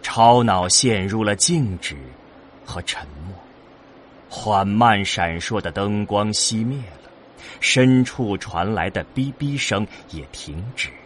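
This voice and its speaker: A man reading scripted science fiction narration dramatically, with suspenseful pauses. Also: fairly low pitch, a little hiss or hum. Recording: clear music bed behind. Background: music.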